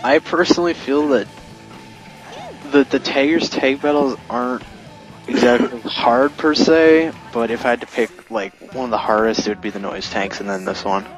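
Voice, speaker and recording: A man with a medium-pitched voice chats as part of a casual gaming commentary. He explains his points in a rambling, slightly hesitant way. Game music plays softly behind him. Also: normal speed, poor audio. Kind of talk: casual group playthrough commentary.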